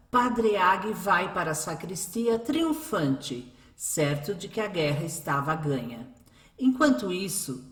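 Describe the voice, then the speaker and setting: A woman narrating a scripted radio drama as a storyteller. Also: on the low side. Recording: echoey room.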